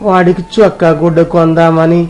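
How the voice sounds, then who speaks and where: A man's voice: a little high, little pitch movement, brisk, darkish tone, faint music behind. A man voicing poor older village men in a dramatized moral story, with earnest, rustic dialogue. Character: poor older village washerman and his barber friend.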